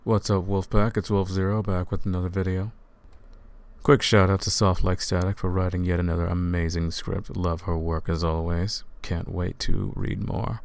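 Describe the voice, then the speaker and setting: A man's voice: low voice, little pitch movement, normal speed, vocal fry, dry recording. A man hosting his own audio channel, talking casually to his listeners in an outro. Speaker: host, voice actor of an ASMR channel.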